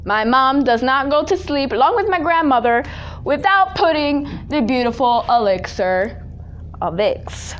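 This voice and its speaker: High-pitched sassy young woman telling stories. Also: expressive, unhurried, fairly bright tone, dull audio. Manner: exaggerated, sassy, mimicking relatives. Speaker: storytelling vlogger. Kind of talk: casual comedic storytelling. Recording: audible background noise.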